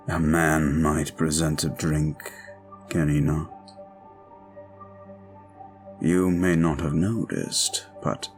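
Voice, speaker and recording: Guy acting as a suave bossy vampire, super gravelly, slow n formal, roleplay audio w faint music behind. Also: very deep voice, full character voice. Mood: courtly, smooth. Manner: formal, deliberate, commanding, with measured pauses. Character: suave, controlling male vampire, courtly and possessive. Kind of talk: scripted audio roleplay, slow intimate monologue.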